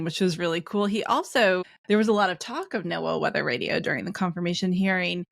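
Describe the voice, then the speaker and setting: An American woman hosts an interview in casual, conversational language. Her voice sits fairly low and carries a lot of vocal fry. The sound is close and dry, with no room echo. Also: a bit bright. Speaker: podcast host, interviewer.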